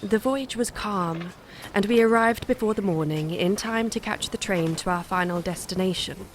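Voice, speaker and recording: Lady as haunted young wife in gothic radio play, composed but intense, medium pitch, bit of noise. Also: normal speed, natural voice. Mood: somber narration. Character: haunted, resolute young wife cursed by a vampire. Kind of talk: audio drama acting and narration. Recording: audible background noise.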